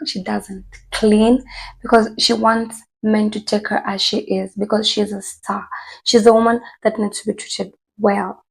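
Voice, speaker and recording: A woman talking casually to her viewers, chatty and rambling with many fillers, her voice hoarse from a cold. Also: medium pitch, poor audio. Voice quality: husky, gravelly. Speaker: vlogger. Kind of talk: casual commentary to camera.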